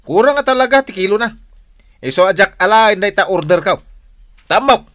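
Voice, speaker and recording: An actor playing a stubborn, blunt village customer in an Ilocano radio comedy-drama. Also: male voice, sits high, lively intonation, fairly quick, fairly bright tone. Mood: accusing. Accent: Filipino, Ilocano.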